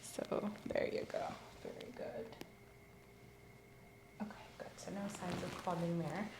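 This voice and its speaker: A woman hosting an ASMR physical exam roleplay, giving calm, step-by-step instructions in a soft voice. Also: fairly low pitch, unhurried. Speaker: ASMR host performing an exam. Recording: roomy with faint hiss.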